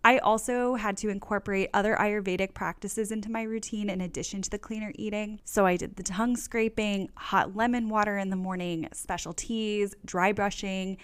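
Enthusiastic woman podcast host, mid pitch, dry close mic. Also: steady tone, normal speed, few pauses, smooth, no echo.

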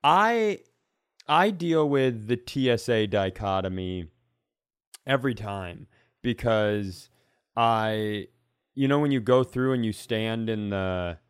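A man's voice: lowish voice, lively intonation, slow, clean tone, no echo, clean studio sound. A man chatting casually as a comedy podcast host, sarcastic and given to acting out the people he describes. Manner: sarcastic, acts out quoted characters.

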